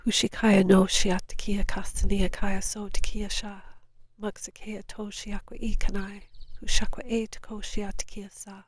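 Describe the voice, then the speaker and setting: A woman with a stuffed-up, nasal voice, outdoors on a beach, guiding a spiritual session in soft whispered chants and calm talk. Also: mid-range voice, normal speed, no room sound. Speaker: spiritual guide. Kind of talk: guided light language session.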